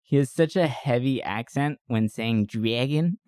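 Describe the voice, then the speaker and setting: A man's voice: medium pitch, animated, slow pace, darkish tone, dry recording, clean studio sound. A man co-hosting a podcast, chatting casually and enthusiastically about movies in a rambling, giggly storytelling way. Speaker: podcast co-host reviewing movies. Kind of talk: casual movie-review chat.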